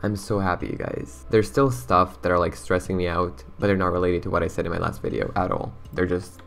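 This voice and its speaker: Deep gravelly young man chatting casually. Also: brisk, a bit bright, slight background noise. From Romania. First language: Romanian. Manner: chatty, rambling, says "bro" and "like" a lot. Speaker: gaming channel host. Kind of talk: casual gameplay commentary and chat.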